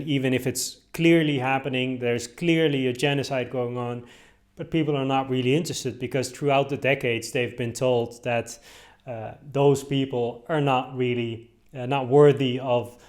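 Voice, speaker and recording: A man's voice: mid-range voice, a little mellow. Dutch-raised man, hesitant video commentary. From Netherlands. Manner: hesitant, many fillers and restarts. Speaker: video host commenting on clips. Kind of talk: casual commentary.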